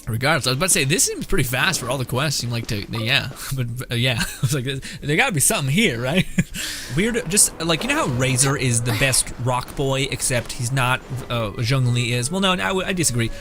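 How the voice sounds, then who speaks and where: A man's voice: mid-range voice, lively intonation, brisk, background noise. Sarcastic young man streaming a game. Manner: rambling, sarcastic, profane. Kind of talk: casual joking gameplay commentary. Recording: game music behind voice.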